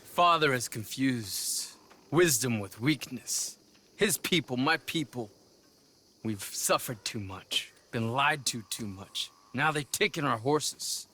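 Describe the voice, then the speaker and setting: An actor playing a proud, aggrieved young warrior in a video game, speaking in formal, earnest declarations. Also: male voice, mid-range voice, animated, slow, pushed and bright, full character voice. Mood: bitter. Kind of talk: video game cinematic acting.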